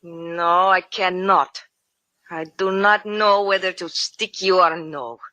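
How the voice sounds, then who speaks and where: An actress in an old-time radio drama playing a fiery, fiercely devoted Cuban woman with a Spanish accent and deliberate, melodramatic phrasing. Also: lowish voice, fairly even intonation, pushed and bright, muffled recording. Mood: menacing. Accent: Spanish-accented English.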